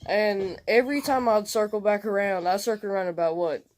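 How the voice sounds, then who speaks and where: A young man recounting an experience casually and excitably in colloquial speech. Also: high-pitched, brisk. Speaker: co-host telling a personal story. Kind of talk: casual storytelling chat.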